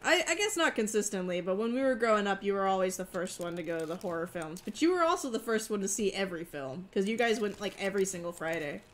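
Woman streamer, headset, analytical co-op gamer, middle pitch. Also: quick pace, few pauses. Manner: analytical, calmly guiding a partner. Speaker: streamer playing a co-op horror game. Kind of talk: casual gameplay chat.

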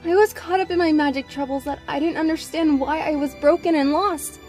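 A woman's voice: high-pitched, steady tone, brisk, a little mellow, faint music behind, close to an everyday voice. A young woman playing an emotional teenage magic princess in a cartoon comic dub. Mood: tender, grateful. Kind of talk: fan comic dub voice acting.

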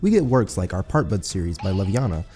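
A man's voice: low voice, big pitch swings, quick pace, dark tone. A man reading scripted narration as the narrator of a video essay. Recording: background music under voice. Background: music.

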